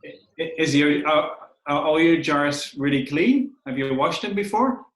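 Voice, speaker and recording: A man whose first language is Dutch gently walks children through a hands-on activity over a video call. He is friendly and often repeats words while he finds them. His voice sounds roomy and echoey. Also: fairly high pitch, lively intonation, moderate pace. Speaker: workshop instructor. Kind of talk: teaching children on a livestream. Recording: roomy echo.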